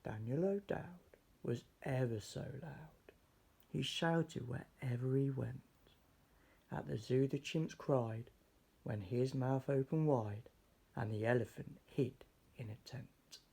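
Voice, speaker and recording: Slow, gravelly man reading a rhyming storybook. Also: medium pitch, warm tone. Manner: theatrical, measured storytelling. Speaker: storybook reader. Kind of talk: expressive read-aloud of rhyming children's story.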